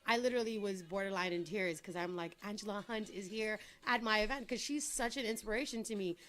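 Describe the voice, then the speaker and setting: A young woman, born in Trinidad, chats brightly as a guest on an interview show. She talks at length in an upbeat, sociable way, with a voice of middling pitch and quick laughs between answers. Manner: upbeat, talkative, laughs easily. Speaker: entrepreneur guest. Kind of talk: casual interview chat.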